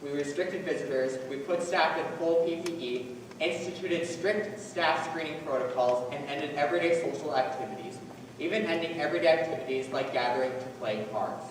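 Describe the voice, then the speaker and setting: A man on stage playing a measured, official care-company spokesman giving a scripted speech. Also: fairly high pitch, natural voice. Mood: formal, measured. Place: on stage in a large hall. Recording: echoey hall from far off, faint noise.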